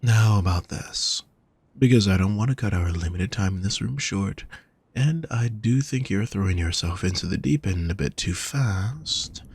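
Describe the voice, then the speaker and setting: A man playing a gentle, protective demon lover in an intimate roleplay audio, soft, husky and reassuring, speaking straight to the listener. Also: fairly low pitch, lively intonation. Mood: soothing, tender. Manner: soft, reassuring, unhurried coaxing. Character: gentle, teasing, protective male demon lover at a fantasy brothel.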